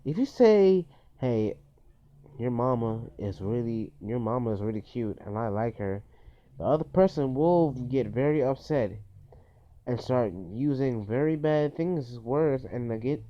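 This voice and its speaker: A man giving informal list-style advice as a video host, in an earnest, cautionary manner with repetitive phrasing. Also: mid-range voice. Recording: dull, lo-fi phone recording.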